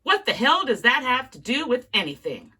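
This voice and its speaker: A woman reading aloud, voicing a brash older male studio boss who talks gruffly and snaps when crossed. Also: high voice, big pitch swings, normal speed, pushed and bright, pitched above the performer's own voice, exaggerated voice. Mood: angry. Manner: gruff, blunt, snappy.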